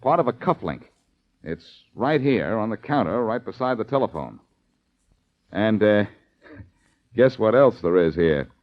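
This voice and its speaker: Dull vintage radio sound, cocky American sleuth, flippant banter, animated intonation, 1940s drama delivery. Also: male voice, lowish voice. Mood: brisk, teasing. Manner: flippant, quick-witted banter. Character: wisecracking, cocky amateur detective on the case. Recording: dull, lo-fi old radio recording.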